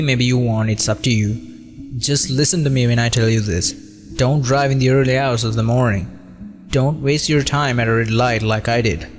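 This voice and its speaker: A man reading a scripted first-person horror story as narrator, with a brooding, dramatic delivery. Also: mid-range voice.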